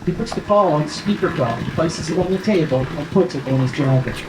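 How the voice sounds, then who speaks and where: A man's voice: a little high, animated, fairly quick. A man reading scripted stage directions as narrator at a screenplay table read. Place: live film festival table read. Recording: echoey room, faint noise.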